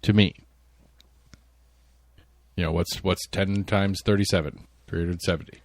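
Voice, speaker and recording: Casual male co-host, slightly low voice, wisecracking and relaxed, recorded dry. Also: unhurried, dry recording. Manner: laid-back, joking, swears freely. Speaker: podcast co-host. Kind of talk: casual chat.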